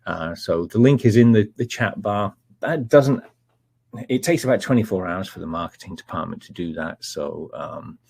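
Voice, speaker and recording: A middle-aged man with a Scottish accent hosts a live technical webinar, his voice low and a little husky. He talks in a relaxed, chatty way, filling pauses with ums and uhs. The sound is dry and close, with no room echo. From Scotland. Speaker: webinar presenter, technical manager.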